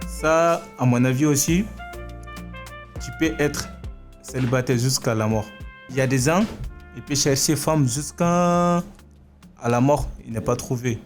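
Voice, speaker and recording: A young man of mid pitch works through his opinion hesitantly, with frequent pauses. Faint instrumental music plays behind him. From Guinea. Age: young adult. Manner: hesitant, many fillers. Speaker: vocational student. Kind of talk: classroom debate.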